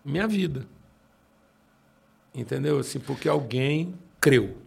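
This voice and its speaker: A man in his sixties with a Brazilian accent, a pastor telling his own story in conversation and slowing down to stress his points. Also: mid-range voice, expressive, creaky, breathy edge. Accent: Brazilian Portuguese. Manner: emphatic, slows down to stress points.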